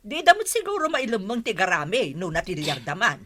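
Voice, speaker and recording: A woman's voice: mid-range voice, wildly swooping pitch, fairly quick, fairly bright tone. A woman with an Ilocano Filipino accent acting an earnest acting village captain in a radio comedy-drama. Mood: businesslike, indignant.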